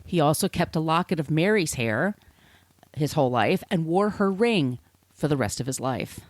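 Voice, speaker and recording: American woman podcaster, low-pitched, very animated. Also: moderate pace, no room sound. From United States. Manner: informal, wry asides. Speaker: podcast host. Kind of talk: conversational storytelling from notes.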